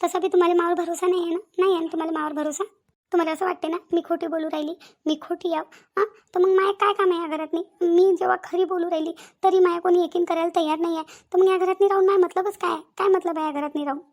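A woman's voice: extremely high pitch, fairly even intonation, rapid-fire, darkish tone. A woman voicing an aggrieved young daughter-in-law in a cartoon family drama, insistently repeating herself. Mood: hurt, aggrieved. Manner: repeats phrases insistently, rhetorical questions. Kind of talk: Marathi cartoon family comedy-drama acting.

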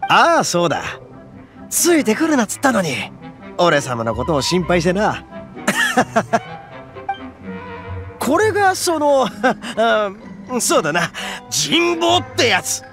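An actor playing a brash, boastful horned oni gang boss who talks in a rough, swaggering way. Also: male voice, high voice, wildly swooping pitch, slow, long pauses, heavily acted. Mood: flustered. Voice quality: rough, swaggering, calls himself grandly. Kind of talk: Japanese game voice acting. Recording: game audio with faint music underneath.

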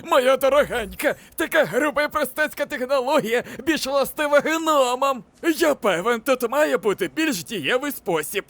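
Very high, theatrical pompous wizard. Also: male voice, expressive, moderate pace, hardly any pauses, a bit bright, full character voice. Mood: haughty. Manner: grandiloquent old-fashioned speech, panicky when flustered. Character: pompous, theatrical, bumbling wizard working as shop cashier. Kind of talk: animated comedy fan dub.

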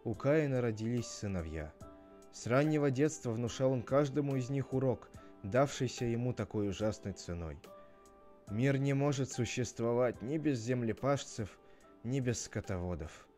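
A man narrating a scripted parable as a storyteller, in a measured, expressive manner. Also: mid-range voice, soft background music.

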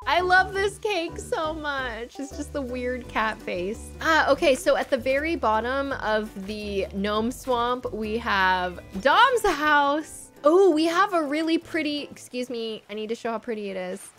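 A woman's voice: high-pitched, expressive, unhurried, hardly any pauses. A young woman giving casual livestream commentary on a game, chatty, gushing and easily delighted, close on a microphone. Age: young adult. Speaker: streamer hosting a game island tour. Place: indoor streaming setup. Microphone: close microphone on boom arm. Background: soft game music.

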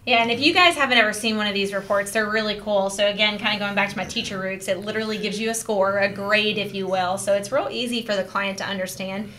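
A woman in her thirties, a real estate agent hosting the show, explaining things clearly and steering the talk, at a desk mic in a small office. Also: mid-range voice, bright, forceful tone, slight background noise. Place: small office studio, indoors. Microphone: desk podcast mic.

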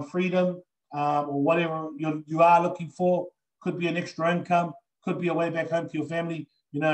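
A man's voice: fairly high pitch, poor audio. A middle-aged man with a New Zealand accent giving an earnest, enthusiastic live business presentation on a video call, with many fillers. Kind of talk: live online sales presentation. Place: indoors before a green backdrop.

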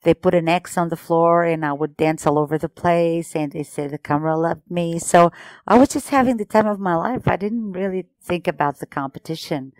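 A woman's voice: on the low side, moderate pace, a little mellow, dry recording, low-quality recording. A woman, chatting as an interview guest and often restarting her sentences. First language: Portuguese. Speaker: guest, former contest singer. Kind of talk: casual interview chat.

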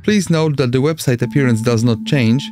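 A man with a Polish accent narrates a step-by-step software tutorial in a mid-pitched voice. He presents as the host of an amateur radio tutorial. Music plays clearly behind his voice-over. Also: moderate pace. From Poland.

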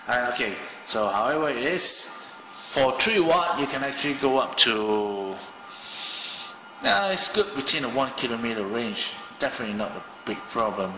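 A man's voice: medium pitch, expressive, moderate pace, long reverb tail, over music, low-quality recording. A man from Malaysia casually demonstrating a radio at his desk, thinking aloud with many fillers. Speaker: hobbyist radio reviewer. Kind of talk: casual hands-on product demo. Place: indoor room at a desk.

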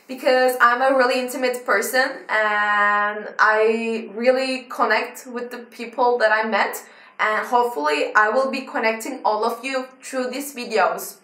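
A woman in her twenties with a Turkish accent, introducing her vlog channel to the camera, energetic and fast-talking, in a small bedroom. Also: fairly high pitch. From Turkey. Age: 20s. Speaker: vlogger. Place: small decorated bedroom, indoors. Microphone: camera mic from a few feet away. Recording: roomy, somewhat distant mic.

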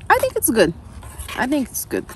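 A woman's voice: high-pitched, huge pitch swings, moderate pace, a little hiss or hum. A young woman casually talking viewers through cooking a camp meal outdoors, step by step, with her camera a short way off. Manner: chatty, step-by-step narration. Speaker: vlogger. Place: campsite outdoors by a fire.

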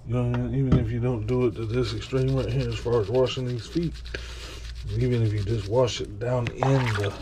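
Man, home vlogger, easygoing and rambling, mid-pitched, recorded at a kitchen sink with water noise. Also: steady tone, normal speed. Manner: rambling, folksy, talks to the dog. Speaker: vlogger washing his dog. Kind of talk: casual running commentary. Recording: running water noise behind.

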